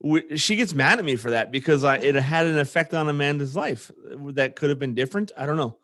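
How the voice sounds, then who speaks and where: A man's voice: on the high side, expressive, a little fast, a bit bright. A man with an American accent hosting a podcast, telling personal stories in a casual, earnest way. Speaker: podcast host; former army platoon leader.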